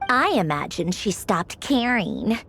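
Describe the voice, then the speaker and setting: A woman's voice: mid-range voice, very animated, measured pace, creaky, fairly bright tone, exaggerated voice. A woman voicing a proud, irritable, overworked young woman official in a game, emphatic and sardonic. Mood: wry, exasperated. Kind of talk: dramatic game voice acting. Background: background music.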